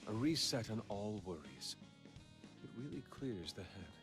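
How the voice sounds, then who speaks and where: A man's voice: on the low side, sing-song, measured pace. A man voicing an earnest, intensely devoted assassin disciple in a video game, formal and solemn. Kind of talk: video game character acting. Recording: studio voice under loud guitar music.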